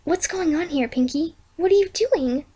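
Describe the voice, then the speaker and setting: A woman's voice: very high-pitched, normal speed, dark tone, voice pushed up. A woman voicing a worried, reassuring female unicorn pony in a storybook reading, hesitant and coaxing. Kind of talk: amateur storybook fan fiction reading.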